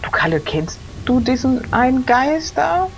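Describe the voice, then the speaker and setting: A woman's voice: sits high, big pitch swings, unhurried. Woman in casual role-play, faint hiss. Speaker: player in an improvised role-play. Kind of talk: casual in-character conversation.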